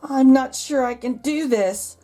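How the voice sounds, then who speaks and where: A woman's voice: high voice, measured pace, warm tone, soft background music, pitched above the performer's own voice. A woman voicing a particular, cautious little girl in a children's storybook, questioning and hesitant. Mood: doubtful. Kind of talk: children's storybook read-aloud.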